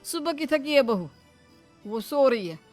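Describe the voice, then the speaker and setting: Woman as indignant cartoon son, high voice, protective, reproachful, forthright, music behind. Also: lively intonation, unhurried, a little mellow. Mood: weary protest. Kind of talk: cartoon moral story dialogue. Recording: music under the voice.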